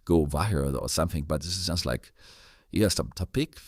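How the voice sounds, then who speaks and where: A man hosting a casual interview, speaking haltingly and often repeating and rephrasing his words. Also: extremely low pitch, animated, dry recording, studio quality. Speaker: interviewer, podcast host.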